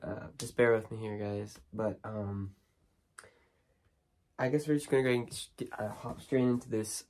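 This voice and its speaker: A teenage boy with a cold, hoarse, chatting casually with many ums and restarts while unboxing movies in his bedroom. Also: mid-range voice, slight background noise. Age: teens. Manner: rambling, lots of ums and restarts. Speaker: horror movie vlogger. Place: small bedroom studio with shelves.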